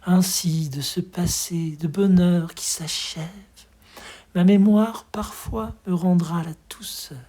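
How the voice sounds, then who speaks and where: A hushed, breathy voice giving an expressive, tender recitation of poetry in a measured way. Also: medium pitch. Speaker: poetry reader.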